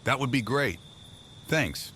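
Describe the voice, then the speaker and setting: A man's voice: medium pitch, expressive, slow pace, sharp tone. A man playing a timid, naive underling in an audio comic, speaking in a hesitant, guileless way. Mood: naive worry. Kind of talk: audio comic dramatic acting. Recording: faint noise with night crickets.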